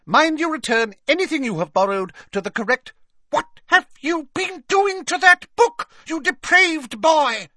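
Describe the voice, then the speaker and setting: An outraged old woman librarian from a storybook, acted out by a male narrator. Her voice is very high and shrill, leaping about as she scolds. She hisses and shrieks with stern fury. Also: lively intonation, measured pace, bright, forceful tone, no room sound, heavily acted.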